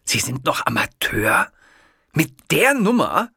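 A man's voice: mid-range voice, very animated, fairly bright tone, breathy edge, no echo, studio quality, pitched above the performer's own voice. A man putting on a chatty, pushy kiosk owner. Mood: eager. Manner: eager, rapid, stumbling over words.

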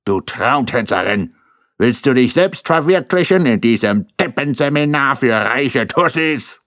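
A man voicing a coarse, contemptuous man shouting crude insults. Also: medium pitch, lively intonation, measured pace, dry recording, clean studio sound, voice pushed up. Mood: furious. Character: coarse, contemptuous man yelling at his wife.

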